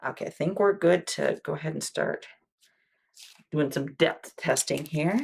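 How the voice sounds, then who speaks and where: Low, husky-voiced woman in soft-spoken roleplay. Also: measured pace. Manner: soft-spoken, patient explanations with frequent "okay"s; drops to near-whispers between lines. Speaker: ASMR roleplay creator playing a wound care nurse. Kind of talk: ASMR medical roleplay.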